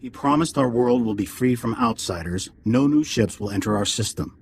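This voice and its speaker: Cryptic alien councillor for a game, gravelly, formal, solemn delivery. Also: male voice, mid-range voice, expressive, normal speed, a little mellow, a little hiss or hum. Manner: ceremonial, formal pronouncements. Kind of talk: dramatic video game acting.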